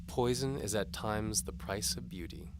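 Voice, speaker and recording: A man's voice: fairly low pitch, a little slow, noisy background, voice pushed up, close to an everyday voice. A man voicing a seductive, haughty noblewoman in an audio drama, coy and imperious.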